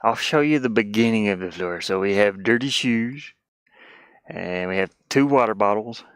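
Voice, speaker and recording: A man's voice: fairly low pitch, lively intonation, moderate pace, a bit bright, clear, resonant tone, dry recording, clean studio sound. A man live streaming with casual, rambling chat in a scattered, self-deprecating manner. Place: inside a small cluttered van.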